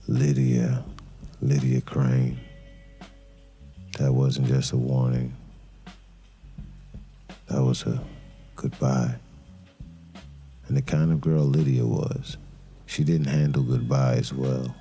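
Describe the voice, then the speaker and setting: An actor playing a weary, brooding detective who narrates his case in an audio crime drama with somber, deliberate pauses. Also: very deep voice, steady tone, creaky, warm tone, faint music behind, full character voice. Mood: somber, reflective.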